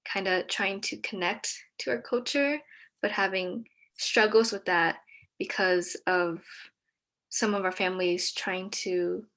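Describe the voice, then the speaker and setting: A young woman from Texas whose first language is Vietnamese, speaking hesitantly with many pauses as a guest in a casual conversation. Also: medium pitch, steady tone. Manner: hesitant, many ums, soft-spoken.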